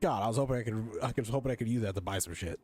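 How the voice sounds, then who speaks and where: A man's voice: on the low side, rapid-fire, darkish tone. A man giving casual, irreverent, joking commentary as he plays a game. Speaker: streamer playing a game.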